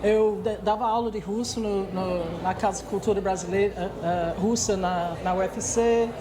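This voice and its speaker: A woman's voice: mid-range voice, steady tone, normal speed, a crowd in the background. A woman in her sixties from England with an English accent, speaking Portuguese as a second language, answering interview questions with many hesitations and restarts. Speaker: guest executive.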